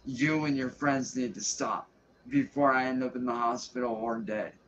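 A man's voice: medium pitch, fairly even intonation, moderate pace, a bit bright. A man on a livestream ranting angrily, with heavy profanity and threatening emphasis. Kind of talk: angry livestream rant. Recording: dull lo-fi stream audio with faint hiss.

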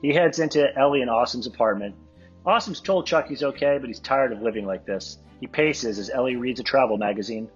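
A man with a husky voice reading stage directions at a script table read. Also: mid-range voice, fairly quick, highs cut off, soft background music.

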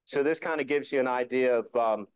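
A man's voice: medium pitch, a little fast, clean tone, no echo. A middle-aged man giving an informal club presentation, explaining things in a conversational way. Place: indoor meeting room.

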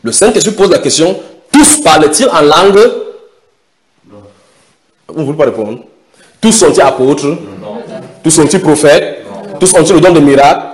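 A man's voice: fairly high pitch, sing-song. Emphatic preacher, a man. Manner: emphatic, questions the audience, drawn-out stresses. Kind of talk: sermon.

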